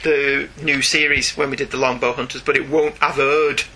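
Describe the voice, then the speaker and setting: A man's voice: medium pitch, level tone, moderate pace, pushed and bright, poor audio. A chatty, enthusiastic man co-hosting a podcast, talking casually and reading out listener emails. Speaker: podcast co-host. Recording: faint background noise.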